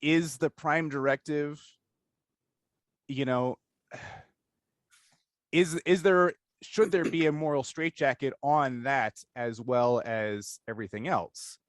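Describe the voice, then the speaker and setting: A man hosts an informal discussion interview in a mid-pitched voice. He talks conversationally, rambling and halting as he works out his thoughts. The sound is close and dry, with very little room in it. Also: no room sound. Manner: halting, thinking aloud, rambling. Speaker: interview host.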